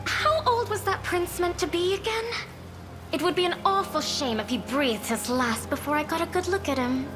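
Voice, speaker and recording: High-voiced haughty young noblewoman. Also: expressive, normal speed. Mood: eager, cocky. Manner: theatrical, imperious, coquettish. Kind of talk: video game cutscene acting. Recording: loud game music behind. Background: game music.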